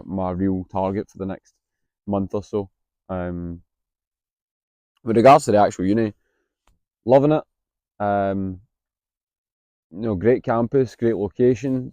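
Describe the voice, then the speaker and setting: A Scottish man in his late twenties, a little husky from being unwell, talking candidly to camera outdoors with plenty of hesitant ums. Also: on the low side, warm tone, no echo. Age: 20s. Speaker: vlogger, university student. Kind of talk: casual vlog to camera. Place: outdoors on a campus.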